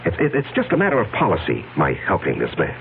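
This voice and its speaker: A man's voice: fairly low pitch, huge pitch swings, quick pace. A man playing a well-off, defensive businessman, earnest and uneasy, with hesitant, stammering speech in a radio drama. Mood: defensive, eager. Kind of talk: 1970s radio drama acting. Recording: tinny, noisy old radio broadcast.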